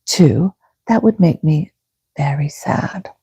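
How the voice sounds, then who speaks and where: A kindly old storybook witch, voiced by a woman, whose voice stays soft and young because she is happy. She speaks in a whispery, breathy hush, slowly and gently. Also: deep voice, expressive, full character voice. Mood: kindly, confiding. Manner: gentle, unhurried storybook delivery.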